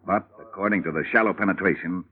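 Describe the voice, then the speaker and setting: An actor playing a brisk, matter-of-fact police sergeant in a 1950s radio drama. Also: male voice, low voice, close to an everyday voice. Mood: businesslike. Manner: brisk, businesslike, plain-spoken. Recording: tinny old radio broadcast.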